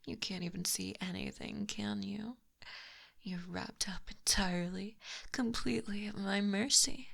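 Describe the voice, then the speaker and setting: Lamia captor played by a woman: breathy, softly menacing, teasing; slow close-mic ASMR delivery with some vocal fry. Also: medium pitch, steady tone, heavily acted. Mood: possessive, soothing. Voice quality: breathy, whispery. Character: possessive, smugly teasing female lamia snake monster captor. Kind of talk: intimate ASMR roleplay. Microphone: close binaural microphone.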